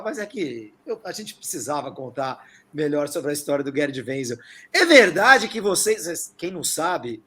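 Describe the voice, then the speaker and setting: A man's voice: high-pitched, fairly bright tone. A man with a Brazilian accent hosting a casual sports chat and asking questions as interviewer. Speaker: interviewer, host.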